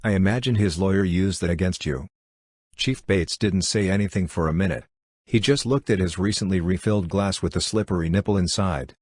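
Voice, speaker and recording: Man as a writer narrator, deep, husky, calm, measured, dry close recording. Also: no room sound. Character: measured male writer-narrator listening to a chief. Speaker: narrator and interviewer. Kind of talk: radio drama narration.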